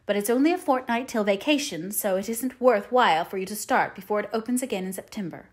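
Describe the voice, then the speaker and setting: A woman voicing a stern, practical older female guardian in an audiobook, brisk and matter-of-fact. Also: mid-range voice, natural voice. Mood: disapproving. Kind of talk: audiobook character voice.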